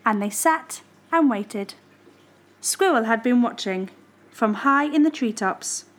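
A woman reading a children's storybook expressively and deliberately. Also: on the high side, animated.

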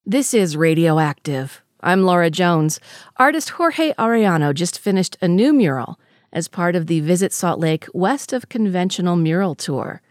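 Measured low-voiced radio host woman. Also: no echo, clean studio sound. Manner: crisp, measured delivery of scripted intros, relaxed questions. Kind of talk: radio feature narration and interviewing.

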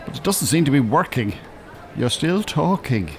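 An irritable, bookish older man in a radio play. He is articulate and drily sarcastic, moving his middle-pitched voice around expressively. There is faint urban ambience behind him. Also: very animated, normal speed, some chatter behind, a little hiss or hum. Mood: exasperated. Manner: polite, dry, exasperated, articulate. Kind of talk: naturalistic radio drama acting. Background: shopping centre ambience.

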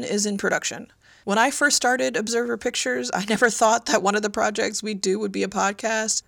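A woman with a mid-range voice and noticeable vocal fry tells her own story as a writer and producer. The recording is close and dry. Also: mid-range voice, fairly bright tone, dry recording. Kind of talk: personal first-person account for a podcast.

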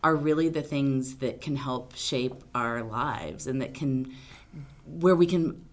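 A woman attorney from Arkansas, answering interview questions in a loud, outgoing, talkative way. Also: extremely low pitch, takes it slowly. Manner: loud, big personality, talkative. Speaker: attorney guest.

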